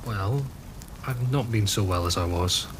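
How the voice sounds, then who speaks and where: Casting: a man as an ailing older gentleman, lowish voice, quiet and reserved. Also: a little slow, slight background noise, close to an everyday voice, rough recording. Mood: subdued.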